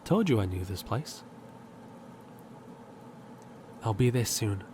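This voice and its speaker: A man's voice: lowish voice, sing-song, moderate pace, noisy background. A man playing a confident, self-centered handyman in an audio roleplay, teasing and cocky. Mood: businesslike. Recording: music bed underneath.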